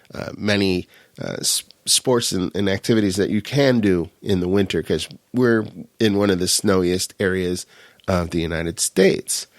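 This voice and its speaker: A man's voice: low-pitched, no echo, clean studio sound. A man from upstate New York co-hosting a podcast, talking in a friendly way with lots of filler sounds. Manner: friendly, with many filler uhs. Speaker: podcast co-host. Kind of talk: casual podcast chat. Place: home in upstate New York, remote recording.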